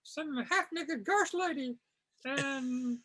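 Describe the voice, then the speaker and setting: A woman's voice: high voice, takes it slowly, fairly bright tone. A woman casually narrating comic panels in a wry, amused, improvised way. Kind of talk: improvised, casual scene description.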